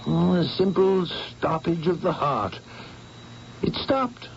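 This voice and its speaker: A breezy artist friend chats away in a fairly high voice. The sound is a dull old radio broadcast with a faint hiss behind it. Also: male voice, takes it slowly, gravelly. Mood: chatty. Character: Max, male artist friend visiting from Paris. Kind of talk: 1970s radio drama acting.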